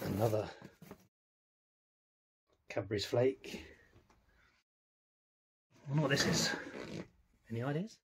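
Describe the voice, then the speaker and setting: A man's voice: mid-range voice, lively intonation, slow pace, long pauses, husky. A man gives a curious, chatty walkaround of an old van in a workshop, thinking aloud as he goes. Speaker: vlogger restoring a classic van. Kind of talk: casual walkaround commentary.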